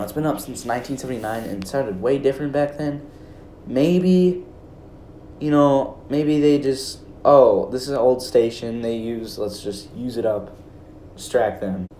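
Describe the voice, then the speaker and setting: A man's voice: mid-range voice, dark tone. Young american guy rambling casually, kinda hesitant, echoey room w a bit of background noise. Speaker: video creator. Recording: roomy echo, faint background noise.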